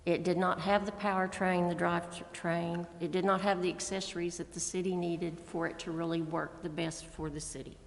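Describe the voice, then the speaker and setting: A woman's voice: on the low side, little pitch movement, normal speed, creaky, hardly any pauses. An American woman making plain-spoken, folksy remarks as a council member at a public meeting. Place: council chamber. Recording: reverberant meeting room.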